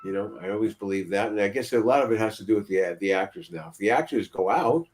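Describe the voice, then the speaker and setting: A man's voice: on the low side, quick pace, darkish tone. An older man of about seventy co-hosting a casual panel chat over a video call, rambling and repeating himself. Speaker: podcast co-host. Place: room at home, indoors.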